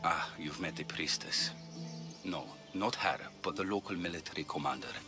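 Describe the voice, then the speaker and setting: An actor playing an earnest, weary older physician in a video game, grave and compassionate with a dry wit. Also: male voice, sits low, normal speed, natural voice. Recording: music behind the voice.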